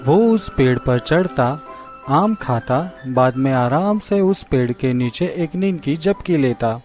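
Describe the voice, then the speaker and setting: A man narrating a scripted children's story in a simple, measured way. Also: mid-range voice, animated, a little mellow, soft background music.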